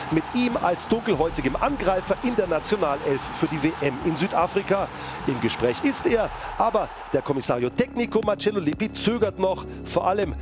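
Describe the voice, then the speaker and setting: A man reading a scripted sports news voice-over in a lively, emphatic reporting tone. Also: high-pitched. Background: background music.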